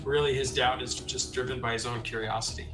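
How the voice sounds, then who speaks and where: A man's voice: mid-range voice, flat delivery, a little fast. Man musing casually in an echoey room. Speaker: tabletop game player. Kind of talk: casual in-character reflection. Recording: roomy echo, faint music.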